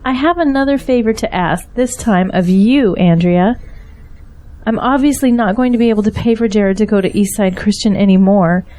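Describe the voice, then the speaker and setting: A woman's voice: medium pitch, normal speed, dark tone, lightly acted. An actress playing a grateful, earnest middle-aged mother in an audio drama. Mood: earnest, hopeful.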